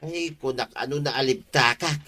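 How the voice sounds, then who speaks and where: A man's voice: mid-range voice, normal speed, pushed and bright. A man playing a sheepish, excuse-making village thief in an Ilocano comedy audio drama, exclaiming and stammering with an Ilocano Filipino accent. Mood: apologetic.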